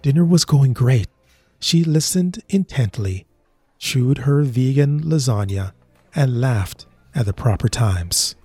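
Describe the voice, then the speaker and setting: A man tells the story slowly and carefully. His voice is husky, and his pitch rises and falls a lot. Soft music plays behind him. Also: lively intonation. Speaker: narrator and lead character. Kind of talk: scripted narration in a short drama.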